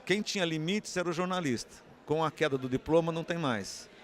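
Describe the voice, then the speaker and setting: A man's voice: a little high, fairly quick, a crowd in the background. A man with a Brazilian Portuguese accent giving an unscripted interview answer, explaining and often posing rhetorical questions. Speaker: interviewee, communications academic.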